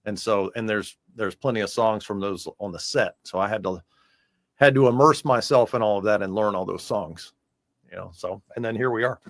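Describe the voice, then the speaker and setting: A man's voice: fairly low pitch, moderate pace, no room sound, studio quality. An American man chatting casually in a music interview as the guest, talking in a loose, rambling way.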